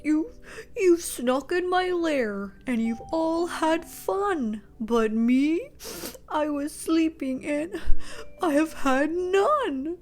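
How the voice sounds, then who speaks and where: A woman voicing a great brown bear in a children's storybook, loud, grumbling, wounded and whiny. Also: high voice, expressive, drawn-out words, faint music behind, pitched above the performer's own voice, full character voice. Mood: grumbling, hurt.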